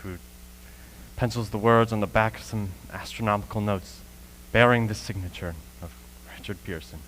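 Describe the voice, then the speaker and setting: A man playing a haunted professor, lone survivor of an invasion, in a brooding, deliberate radio play monologue. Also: fairly low pitch, fairly even intonation, fairly bright tone, slight background noise, natural voice, rough recording. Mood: bleak, brooding. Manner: brooding, deliberate, swelling into anguish.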